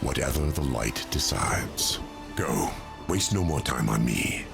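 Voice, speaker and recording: A man playing an ancient, weary, remorseful angel of death in a video game, with a gravelly voice and slow, grave delivery. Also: expressive, music in the background, exaggerated voice. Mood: resigned, solemn. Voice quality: gravelly, very deep. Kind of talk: dramatic video game cutscene acting. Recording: loud orchestral score behind voice.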